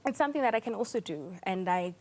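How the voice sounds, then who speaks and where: A South African woman speaks as an interview guest. Her delivery is composed and measured, with a mid-pitched voice, as she gives considered answers in a news interview style. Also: animated, darkish tone. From South Africa, small town in Mpumalanga. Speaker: interview guest, air traffic controller.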